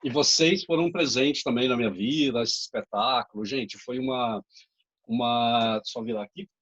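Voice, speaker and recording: A man's voice: medium pitch, normal speed, a bit bright, husky, muffled recording. A man from Brazil, a theatre director, chatting on a video call and correcting dates and names as he remembers them. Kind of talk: casual video-call chat.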